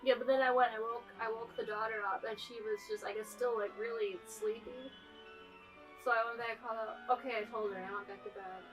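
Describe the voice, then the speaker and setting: A young woman co-host tells stories in a rambling way, her voice a bit high. She sits back from the mic, and faint solo piano plays behind her. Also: level tone, fast talker, a bit bright, recorded from a distance, low-quality recording. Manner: rambling, full of fillers and laughs. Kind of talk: casual storytelling chat.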